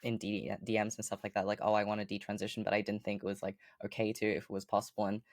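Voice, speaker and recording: A woman with a fairly deep voice, a podcast guest chatting casually and upbeat, with lots of ums and likes. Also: flat delivery, quick pace, dry recording, studio quality. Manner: chatty, upbeat, many fillers like um and like. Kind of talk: casual interview chat.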